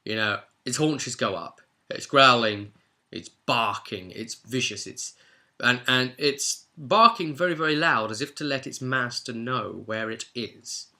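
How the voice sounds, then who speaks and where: A man giving an animated, chatty, informal recap of a role-play session as game master. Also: mid-range voice, unhurried. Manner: chatty, acts out players' exchanges.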